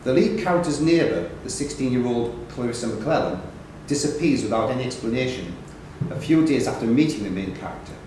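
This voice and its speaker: A man's voice: medium pitch, animated, brisk, few pauses, a little mellow. Man reading quiz questions in echoey room. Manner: stumbles and corrects himself while reading. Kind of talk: reading long quiz questions aloud at a live event. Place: echoey indoor pub function room. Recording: very echoey noisy room, distant mic.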